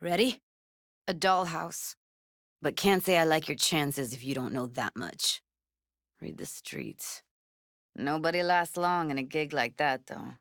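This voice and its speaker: A tough young cyberpunk techie, with a slightly low voice in a dry, close recording. She talks in clipped street slang and swears freely, blunt on the surface and tender underneath, in a naturalistic video game style. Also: lively intonation, unhurried, fairly bright tone, dry recording, exaggerated voice. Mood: matter-of-fact, guarded.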